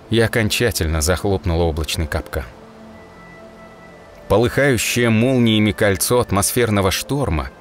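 A man reading a dramatic first-person story as an audiobook narrator, expressively and in short measured phrases. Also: fairly low pitch. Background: music.

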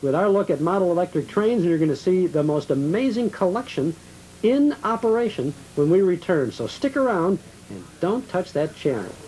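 Higher-pitched male TV host. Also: very animated, normal speed, warm tone, a little hiss or hum, poor audio. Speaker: TV show host. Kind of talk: hosting interviews and reading shop promos.

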